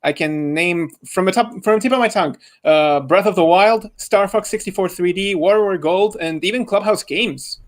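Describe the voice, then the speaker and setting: A man's voice: sits high, moderate pace, few pauses. A young adult man talking casually as a podcast panel member, with hesitant, stop-start phrasing. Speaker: podcast panel member, amateur fan dub voice actor. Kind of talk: casual group podcast chat.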